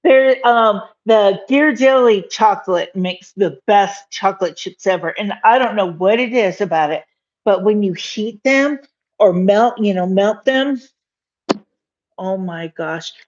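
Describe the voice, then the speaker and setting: Woman in her fifties, unhurried rambling talk, giggles and fillers, dull lo-fi phone sound, home kitchen. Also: medium pitch, a little mellow. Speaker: livestream cooking host. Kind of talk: casual live cooking stream. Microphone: phone camera on counter.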